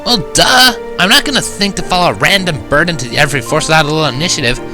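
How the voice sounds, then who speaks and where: A man's voice: fairly high pitch, lively intonation, fast, music in the background, voice pushed up, close to an everyday voice. A man voicing a brash, teasing young female pegasus pony in a storybook reading, with a dismissive manner.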